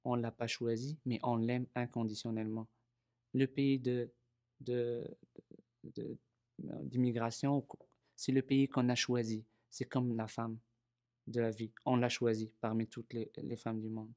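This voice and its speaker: A man with a Moroccan accent speaks in a recorded interview, his voice mellow and mid-pitched. He hesitates often, repeating words while he searches for the next one. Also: level tone, measured pace. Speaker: oral history interviewee.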